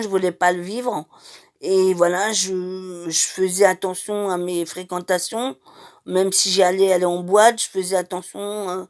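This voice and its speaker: A middle-aged woman from Madeira telling her life story in an unscripted, hesitant way, with many pauses and restarts. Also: lowish voice. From Madeira, Portugal. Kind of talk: personal testimony, unscripted.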